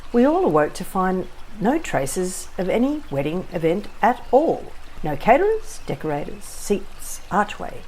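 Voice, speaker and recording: Female actor as whimsical, self-satisfied woman, audio drama narrator. Lower register, theatrical and wry, light noisy ambience. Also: a little mellow. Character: self-satisfied, whimsical Australian woman recalling a wedding trip. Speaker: narrator and lead of an audio play. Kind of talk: scripted first-person storytelling.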